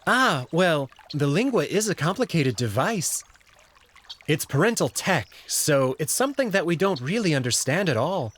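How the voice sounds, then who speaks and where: A man voicing a polite, discreet young alien servant with a courteous, measured and gently candid manner, in a visual novel. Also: fairly high pitch, sing-song, pitched above the performer's own voice. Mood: pleasant, explaining. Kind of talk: visual novel character read-through.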